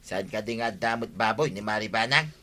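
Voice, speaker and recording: A man's voice: on the low side, brisk, pushed and bright. An actor playing a blustering, argumentative village man in an Ilocano radio comedy drama, speaking with an Ilocano accent. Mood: practical.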